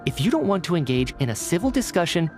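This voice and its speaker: A lively, expressive young man in a video game cutscene, with music behind him. He is earnest and courteous, speaking articulately as he reasons like an amateur detective. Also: on the high side, fast talker. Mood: indignant. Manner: polite, articulate, reasoning aloud.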